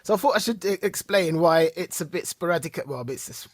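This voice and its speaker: A man's voice: high voice, a little fast, no echo, clean studio sound. A man in his forties co-hosting a podcast, telling stories in casual chat and laughing often. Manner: laughs often, animated storytelling. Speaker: podcast co-host.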